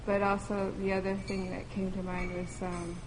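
Flat-voiced woman asking a question. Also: mid-range voice, normal speed. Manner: questioning, hesitant. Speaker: group participant. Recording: hissy recording.

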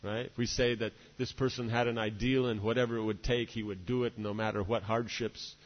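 A lower-pitched man speaks in a discursive lecturing way, often breaking off to ask his listeners "right?". His voice carries a long reverb from a large hall. The recording sounds muffled and lo-fi. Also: little pitch movement, a little fast. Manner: discursive, many "you know" and "right?" asides. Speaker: spiritual teacher. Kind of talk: devotional lecture.

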